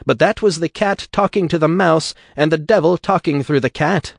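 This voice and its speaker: A man's voice: a little high, moderate pace, vocal fry, no echo, studio quality, voice pushed up. A man reading an audiobook voices a fiery male agitator with an Irish brogue, bellowing to a crowd. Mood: rousing.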